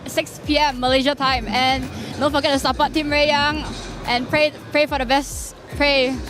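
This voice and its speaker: A woman's voice: high-pitched, flat delivery, moderate pace, music in the background. A woman, an esports player, calling out playful well-wishes to fans.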